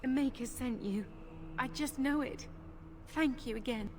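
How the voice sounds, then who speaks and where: A woman's voice: high-pitched, a little slow, noisy background. An actress playing a grateful, devout young village woman in a video game, giving earnest thanks.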